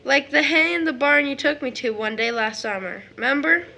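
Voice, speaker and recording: A woman's voice: sits high, normal speed, creaky, sharp tone, a little hiss or hum, exaggerated voice. A woman playing a sweet, earnest little boy in an audio drama, with a childlike, eager way of talking.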